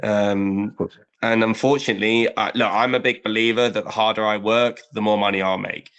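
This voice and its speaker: A man's voice: fairly low pitch, fairly even intonation, moderate pace, a bit bright, clear, resonant tone. A young adult man hosting a podcast, chatting casually and eagerly agreeing with his guest. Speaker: podcast host, recruiter. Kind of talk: casual interview chat.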